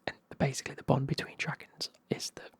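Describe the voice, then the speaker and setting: A person with a British accent whispering a casual, chatty, sweary retelling of a book in a breathy ASMR style. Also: fast, dry recording.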